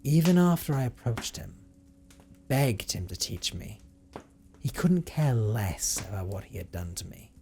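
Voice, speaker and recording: A man playing a brooding, guilt-ridden vampire in an audio roleplay monologue, speaking in a measured, formal, hushed and breathy storytelling manner. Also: mid-range voice, sing-song, a little hiss or hum. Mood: bitter, restrained. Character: brooding male vampire recounting his tragic, guilt-ridden past.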